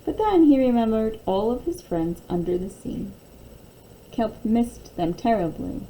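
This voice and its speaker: Very mellow woman reading a children's storybook. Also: fairly high pitch, slight background noise, rough recording. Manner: animated, deliberate storytelling. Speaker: teacher reading a picture book aloud. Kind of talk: expressive children's storybook read-aloud.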